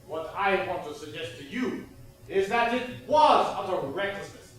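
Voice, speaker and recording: A man's voice: sits high, lively intonation, measured pace, a bit bright, faint music behind. A man on stage playing a stern, indignant inquiry examiner, formal and insistent. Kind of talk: stage play acting. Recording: very echoey stage, distant microphone.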